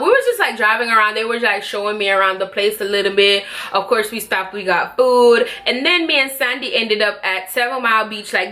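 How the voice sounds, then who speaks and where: A woman's voice: a little high, bright, forceful tone. A young Jamaican woman chatting casually to her vlog audience about a trip, rambling and correcting herself as she goes. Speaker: vlogger. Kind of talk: casual travel vlog chat.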